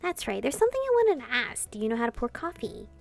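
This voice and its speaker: A woman hosting a gameplay channel, reading dialogue aloud and commenting in an expressive way, free with swearing. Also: high-pitched, fast, gravelly. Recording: game music behind.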